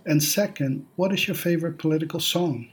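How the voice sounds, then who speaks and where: Husky-voiced male podcast host. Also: a little high. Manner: measured, formal scripted introductions. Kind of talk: podcast interview hosting.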